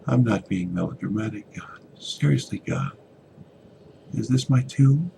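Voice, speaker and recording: A man voicing a tormented, helpless son in a dramatic story reading, pleading in a breathy whimper. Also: on the low side, lively intonation, a little slow, background noise, pitched above the performer's own voice, full character voice. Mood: anguished, despairing.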